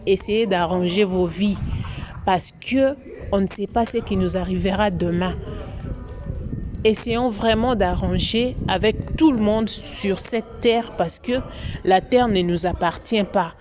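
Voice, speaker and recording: A woman's voice: mid-range voice, unhurried. A woman from Congo, hosting a commentary vlog in a preachy, moralizing way that repeats its points. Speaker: YouTube channel host.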